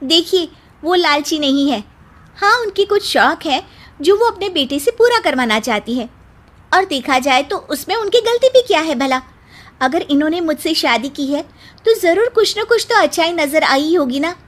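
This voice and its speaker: High-pitched, cheeky young bride played by a woman. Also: animated, normal speed. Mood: indignant. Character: short young bride, cheerful, cheeky and self-assured. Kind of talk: Hindi cartoon story dialogue.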